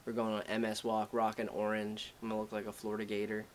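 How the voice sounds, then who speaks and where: A man's voice: on the low side, monotone, fast, a little hiss or hum. A young man co-hosting a casual podcast chat, loose and slangy, swearing freely. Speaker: podcast co-host.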